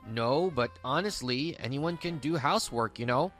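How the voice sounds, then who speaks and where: A woman's voice: rumbling low, animated, normal speed, soft background music. A woman voicing a selfish, dismissive young husband in a manga dub, whiny and condescending.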